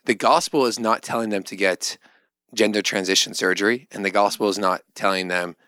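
A man with a fairly low voice talks casually as a podcast co-host on matters of faith. He explains in a conversational way, peppering his phrases with "like". The sound is dry and close. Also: fairly even intonation, a little fast, bright, forceful tone, dry recording. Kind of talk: casual religious discussion.

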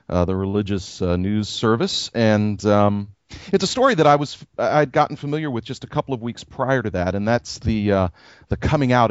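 A man co-hosting a casual podcast chat as a pastor, soft-spoken and halting, with many fillers and restarts. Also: lowish voice, no room sound. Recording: dry, dull lo-fi sound.

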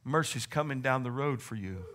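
A man's voice: mid-range voice, animated, moderate pace, a bit bright. A man preaching a sermon as pastor, with fervent exclamations and a voice strained from long preaching. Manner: preaching with rising fervor, exclamations and call-and-response.

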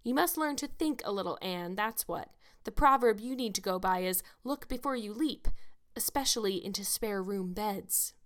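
A woman's voice: mid-range voice, animated, normal speed, fairly bright tone, close to an everyday voice. Storybook role: older village busybody, played by a woman narrator, blunt, folksy, sure of her own judgment. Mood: kindly scolding. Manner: folksy, sage, scolding. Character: blunt, opinionated, gossipy older village matron.

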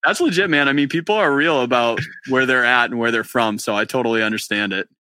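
Upbeat, bright-voiced male podcast host. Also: mid-range voice, quick pace, smooth, no echo. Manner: upbeat, chummy, loose and enthusiastic. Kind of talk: casual live-stream interview chat.

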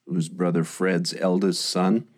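Deep-voiced podcast host saying amen. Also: male voice, little pitch movement, a little slow. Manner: warm, affirming, frequent amens. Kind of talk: interview on a mission trip.

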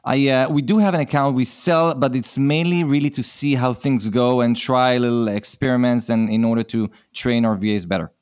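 A man's voice: medium pitch, moderate pace, hardly any pauses, a little mellow, clean tone, dry recording, clean studio sound. A man from Israel with an Israeli accent, a company founder who is a guest on a podcast, speaking in friendly, casual chat with hesitant fillers.

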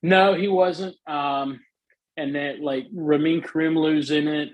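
A middle-aged man talks eagerly in a casual interview, his soothing voice a little husky. The sound is dry and close, with no room behind it. He restarts often and fills pauses. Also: on the high side, fairly even intonation, no echo, poor audio. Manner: enthusiastic, many fillers and restarts. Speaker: guest.

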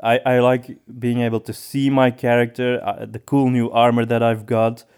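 A man co-hosting a casual podcast, speaking in a halting way as he thinks aloud. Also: medium pitch, level tone, a little mellow, clean tone. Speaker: podcast co-host.

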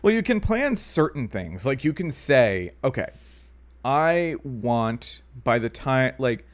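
Animated man in his thirties. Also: medium pitch, slow pace. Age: 30s. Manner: rambling, hedging with fillers and restarts. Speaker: podcast co-host. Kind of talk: casual chat.

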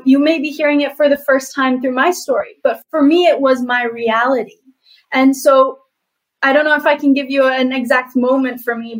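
A woman's voice: sits high, rough recording. A young woman from Bali, an activist guest answering interview questions in an earnest, reflective way with frequent fillers. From Bali, Indonesia. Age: young adult.

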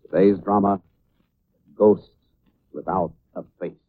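A man's voice: deep voice, fairly even intonation, takes it slowly. A man giving formal, dramatic scripted narration in the style of a 1940s radio serial. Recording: hissy narrow-band old radio recording.

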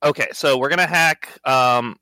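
A man chatting as a player in a tabletop roleplaying game, halting and full of restarts. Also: medium pitch, bright, forceful tone, no room sound. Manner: hesitant, lots of fillers and restarts.

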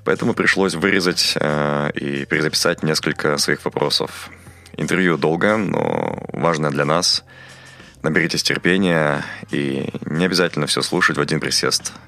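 Very deep gravelly-voiced man host. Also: expressive, moderate pace, sharp tone. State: recovering from a cold. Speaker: podcast host. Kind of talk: podcast intro and interview questions.

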